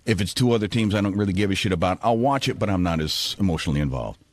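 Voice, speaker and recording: A man chatting casually as a radio show regular, halting and circling back to rephrase himself. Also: sits low, animated. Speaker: radio show cast member.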